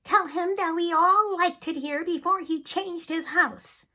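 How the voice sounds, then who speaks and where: Shrill scandalized neighbors, as a woman puts them on. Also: heavily acted. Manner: loud, shrill, drawn-out exclaiming. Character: scandalized gossiping neighbors on a tidy street.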